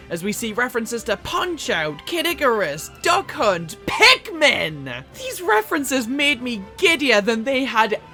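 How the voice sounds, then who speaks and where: Animated woman reviewer over background music. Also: medium pitch. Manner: expressive, emphatic delivery with sarcastic asides. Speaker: video essay host. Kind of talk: scripted opinionated film review commentary.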